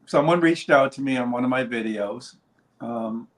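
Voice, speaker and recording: A man casually introducing a friend on a livestream, speaking in a relaxed, slightly hesitant way. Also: medium pitch, moderate pace. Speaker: video creator introducing a guest. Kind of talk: casual interview chat.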